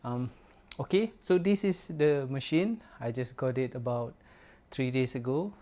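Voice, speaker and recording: A man whose first language is Malay, giving a casual, unhurried product review in English with many pauses and fillers. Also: medium pitch, dark tone. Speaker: record store owner reviewing gear.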